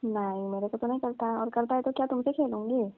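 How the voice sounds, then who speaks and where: A woman's voice: on the high side, steady tone, fast, no echo, soft background music, close to an everyday voice. A young woman playing a coy cousin who teases and questions in a casual phone-call drama, over narrow, tinny phone audio. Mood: teasing.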